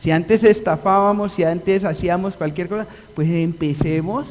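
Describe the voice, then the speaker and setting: A man preaching a live sermon in a chapel, explaining a text and asking the congregation questions, drawing out key words slowly. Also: sits high, a little mellow. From Colombia. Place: church chapel. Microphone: livestream recording. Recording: long reverb of a church hall.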